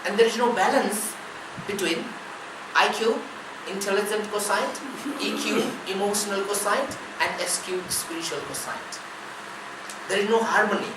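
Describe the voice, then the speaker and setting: Woman giving a distant, roomy spiritual talk. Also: medium pitch. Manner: measured, speaks in short listed phrases. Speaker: spiritual teacher. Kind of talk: spiritual discourse. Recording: roomy, distant mic, ambient noise.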